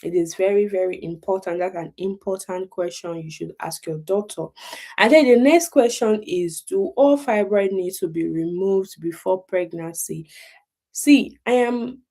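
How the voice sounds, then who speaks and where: A woman's voice: mid-range voice, a little slow, mellow. A woman with a Nigerian accent hosting a casual live explainer, talking emphatically, repeating key points and checking in with her listeners. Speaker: health talk host.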